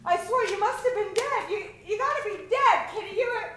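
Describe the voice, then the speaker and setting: Very high-pitched theatrical woman convict, played by an actress. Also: brisk, fairly bright tone, slight background noise. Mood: terrified. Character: jaded, frightened woman convict working in prison library. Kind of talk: old-time radio drama acting. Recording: echoey live stage.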